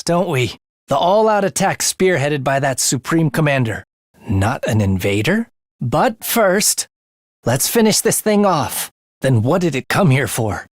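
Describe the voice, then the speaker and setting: A man's voice: on the high side, no echo, studio quality. A man voicing a morbid, bloodthirsty young male student scientist in an English video game, speaking theatrically with a sinister relish for the macabre.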